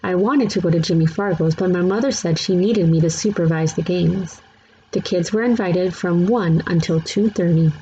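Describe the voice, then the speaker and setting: Mellow woman reading a children's book. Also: fairly low pitch, normal speed. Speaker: read-aloud narrator. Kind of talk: scripted children's book narration. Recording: slightly dull, faintly noisy recording.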